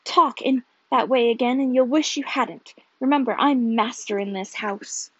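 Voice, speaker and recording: A woman's voice: on the high side, normal speed, breathy edge, dry recording, dull audio. A woman voicing a sulky, boastful, bad-tempered young man in a storybook, with a snarling, mocking manner. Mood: angry, scornful.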